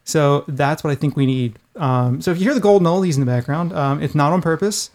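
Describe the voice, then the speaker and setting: A middle-aged man with a high-pitched voice, chatting casually as a podcast host in an irreverent, rambling, casually profane way. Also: fairly quick, darkish tone. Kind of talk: casual chat.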